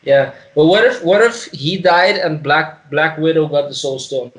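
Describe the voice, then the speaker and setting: A man co-hosting a podcast, chatting casually about a film in a sweary, slangy way, halting and repeating phrases. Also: fairly high pitch, fairly bright tone, rough recording. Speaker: podcast co-host.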